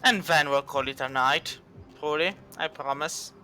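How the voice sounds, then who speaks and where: A man's voice: a little high, a little slow, sharp tone. A man streaming a video game, commenting playfully and reading his character's lines in a theatrical way. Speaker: gaming streamer. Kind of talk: let's play commentary. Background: game music.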